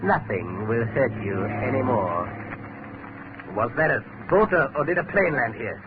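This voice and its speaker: An actor playing a coldly murderous villain who taunts and threatens theatrically in a 1930s radio drama. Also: male voice, medium pitch, big pitch swings, a little slow. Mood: cold menace. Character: menacing male villain, coldly murderous, turning manic. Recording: noisy narrow-band 1930s radio recording.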